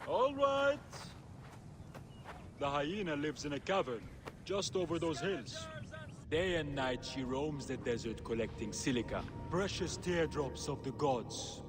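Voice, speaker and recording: Sly game merchant, highish swoopy voice, teasing and wheedling, bit of music under. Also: male voice, lively intonation, a little slow. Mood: sly bargaining. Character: sly, haggling merchant trading wares and secrets. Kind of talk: video game cutscene acting.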